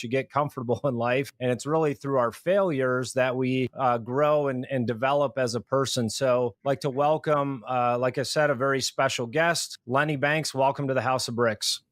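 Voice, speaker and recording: A man hosting a podcast interview, introducing guests in a measured, deliberate way with "uh" fillers. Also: mid-range voice, fairly bright tone, dry recording. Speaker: podcast host.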